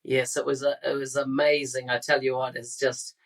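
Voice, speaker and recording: A man's voice: mid-range voice, level tone, normal speed. A man hosting a podcast, introducing a guest and chatting in a friendly, conversational way. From New Zealand. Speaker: podcast host.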